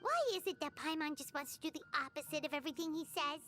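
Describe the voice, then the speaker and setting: A woman voicing a tiny, excitable childlike fairy companion in a video game, squeaky and quick to exclaim or grumble. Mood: wary, indignant. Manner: exclaiming, suspicious, refers to herself by name. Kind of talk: animated video game voice acting. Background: music.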